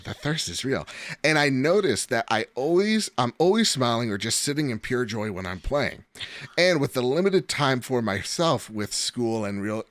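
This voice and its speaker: A man's voice: medium pitch, fairly bright tone, no room sound. A man from New Jersey hosting a podcast, joking and reading out listener mail. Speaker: podcast host. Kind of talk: casual chat.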